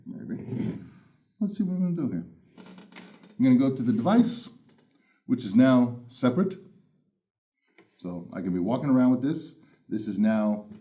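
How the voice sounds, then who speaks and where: Playful male host with a big voice and lively swings, for casual gear unboxing. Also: mid-range voice. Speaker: headphone reviewer. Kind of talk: casual unboxing first impressions.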